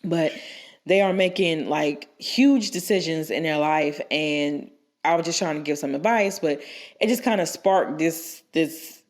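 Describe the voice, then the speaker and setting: Woman, low voice, stuffy from a cold, rambling casual advice, podcast co-host. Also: unhurried, darkish tone. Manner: rambling, frequent restarts and fillers.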